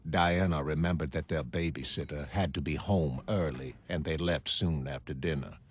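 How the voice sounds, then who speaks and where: A man playing a troubled, weary psychiatrist who narrates his private notes in a motion comic, measured and brooding. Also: very deep voice, slightly rough. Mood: somber, weary. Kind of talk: scripted diary narration in an animated motion comic.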